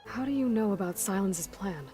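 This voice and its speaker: A woman's voice: medium pitch, animated, normal speed, mellow, faint music behind, close to an everyday voice. An actress playing a guarded, skeptical young warrior woman in a video game, blunt and questioning in her delivery. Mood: suspicious. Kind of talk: naturalistic video game cutscene acting.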